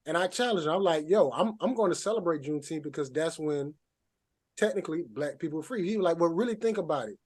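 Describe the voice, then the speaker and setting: Higher-voiced man hosting a casual podcast. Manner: informal, slangy phrasing. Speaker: podcast host. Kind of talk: casual conversational interview.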